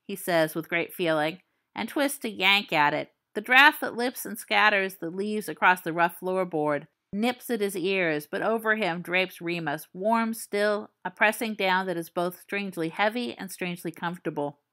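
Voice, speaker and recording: A woman reading fan fiction aloud as an audiobook, in a calm, measured, soft manner. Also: mid-range voice. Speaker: podfic reader.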